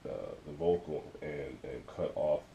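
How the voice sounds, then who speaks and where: A young man with a deep voice chatting casually about his music in a laid-back, rambling way, full of fillers. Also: a little slow, creaky. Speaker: music artist vlogger. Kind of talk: casual vlog chat. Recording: roomy with loud rumbling noise.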